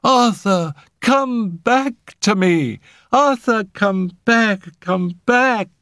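A man's voice: very high-pitched, sing-song, fairly quick, husky, dry recording, clean studio sound, voice pushed up, full character voice. A man voicing an impassioned young woman in a storybook, crying out emphatically with devoted intensity. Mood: desperate pleading.

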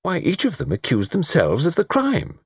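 A man voicing a fussy, excitable elderly British gentleman in a storybook, savouring his words theatrically. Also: a little high, moderate pace, creaky, husky, no echo, studio quality, voice pushed up, full character voice. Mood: astonished.

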